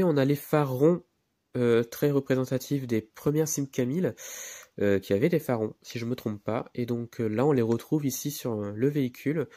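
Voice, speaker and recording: A man's voice: medium pitch, warm tone, no echo, studio quality. A man with a head cold and a nasal voice, presenting a miniature car in a hesitant, casual way with many fillers. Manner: hesitant, many fillers, repeats words while handling the model.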